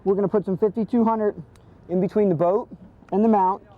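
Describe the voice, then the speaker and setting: High-voiced man explaining technical installation. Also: steady tone, brisk, phone-quality sound. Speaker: boat rigger, expert guest. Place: outdoors on a boat.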